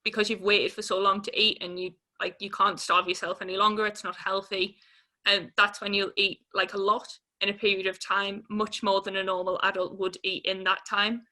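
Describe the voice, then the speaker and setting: A woman in her twenties speaks as an interviewee about her own life. Her voice is bright and fairly flat in pitch. She talks informally and hesitantly, with plenty of casual fillers. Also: moderate pace. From Newcastle upon Tyne, England. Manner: informal, hesitant, peppered with 'like'. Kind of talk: candid personal account in an interview.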